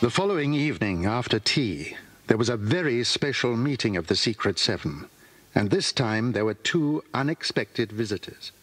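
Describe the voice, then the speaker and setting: A man's voice: mid-range voice, big pitch swings, normal speed, a bit bright, a little hiss or hum. A man narrating a scripted children's story. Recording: music behind the voice.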